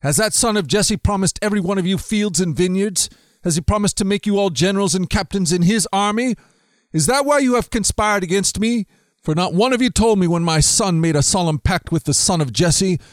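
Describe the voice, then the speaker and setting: A man reading aloud, voicing an angry, suspicious king who shouts accusations at his men. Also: fairly high pitch, moderate pace, hardly any pauses, no room sound, clean studio sound, voice pushed up, close to an everyday voice. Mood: furious. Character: furious suspicious old king, male.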